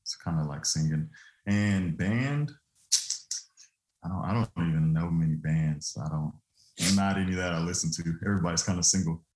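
A man in his thirties from Louisiana, guest on an interview, telling stories in a relaxed, loose way with easy laughter. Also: sits low, moderate pace, roomy sound. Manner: easygoing, laughs often, loose filler-heavy telling. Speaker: guest, former football player turned trucking business owner.

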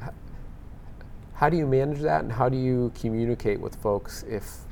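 A man's voice: mid-range voice, moderate pace, gravelly, a little mellow, a little hiss or hum. A man hosting a panel discussion, asking long searching questions in a conversational way. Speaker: podcast host.

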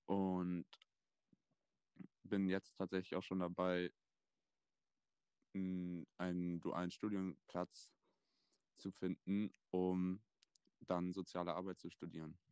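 A man of twenty hosting a casual chat, relaxed and thoughtful with plenty of fillers. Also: sits low, slow, long pauses, clean tone, no echo. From Germany. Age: 20s. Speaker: podcast host.

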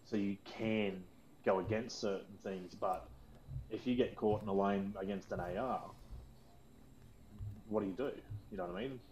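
A man's voice: lowish voice, fairly even intonation, moderate pace, long pauses, a little mellow, highs cut off, a little hiss or hum. A man co-hosting a casual gaming podcast, teasing his friends and explaining at length. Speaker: podcast co-host.

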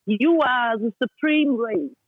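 A woman's voice: fairly high pitch, slow, no room sound. A woman on a dull, muffled call line with no room sound at all. She speaks bluntly and with force, in English with non-native grammar. She repeats short commands over and over to drive each point home. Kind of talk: casual chat.

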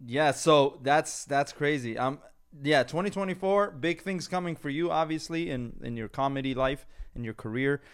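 A man's voice: a little high, moderate pace, clear, resonant tone. Laughing man hosting a podcast. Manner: loose, laughing, rambling. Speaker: podcast host. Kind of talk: casual podcast chat.

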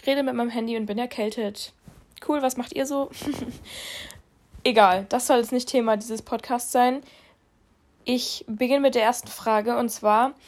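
A woman's voice: on the high side, darkish tone. A young woman with a cold, co-hosting a podcast and chatting casually into her phone, alone in her room, laughing at herself. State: has a cold. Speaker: podcast co-host. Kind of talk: casual chat.

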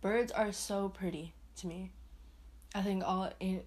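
A young woman hosting a casual solo podcast, chatty and rambling, laughing at herself and swinging into higher playful voices. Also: steady tone, a little slow, a little mellow. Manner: swings into high put-on voices. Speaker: podcast host.

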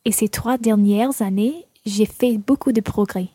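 A woman acting as a modest French student in a learning radio play. Her voice is fairly high and soft-toned, and she delivers each line carefully in a dry, close recording. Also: normal speed, breathy edge, no echo. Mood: plain, informative. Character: Christina, woman who studies French, modest dinner guest. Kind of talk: scripted language-course radio play dialogue.

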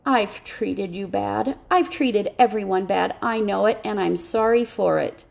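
A woman's voice: mid-range voice, unhurried, gravelly, a little mellow. A woman voicing a remorseful, burdened farmer and father in a subdued, confessional way. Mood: guilty sorrow.

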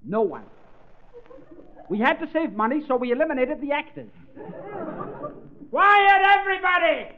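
A theatrical, excitable producer from 1930s radio comedy, pitched very high. He announces absurdities grandly and snaps orders. The dull old recording has an audience and hiss behind him. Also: normal speed, long pauses, some chatter behind, heavily acted. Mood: barking. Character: absurd, excitable, secretive male film producer.

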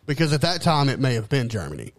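A man's voice: medium pitch, very animated, fast talker, dry recording. A man chatting informally, peppering his talk with "like" and swear words. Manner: profane, informal, heavy use of "like". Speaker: podcast co-host. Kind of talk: casual chat.

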